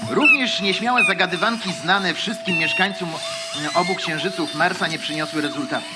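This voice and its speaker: Man as a high-pitched mock-solemn radio play narrator. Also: normal speed, lightly acted. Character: mock-solemn male narrator, politely interrupting, pedantic. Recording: loud music behind the voice.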